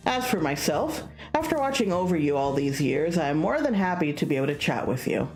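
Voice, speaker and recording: A woman's voice: sits low, very animated, brisk, a little mellow, reverberant, soft background music, poor audio. A woman voicing a self-assured, blunt male guardian tiger god in a visual novel. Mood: confident, kindly.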